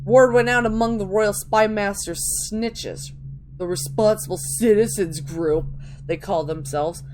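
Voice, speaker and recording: A woman's voice: mid-range voice, unhurried, darkish tone. Woman reading dramatically over noisy audio. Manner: theatrical, stumbles over words and restarts. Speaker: gamer reading game texts aloud. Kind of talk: dramatic reading of in-game books.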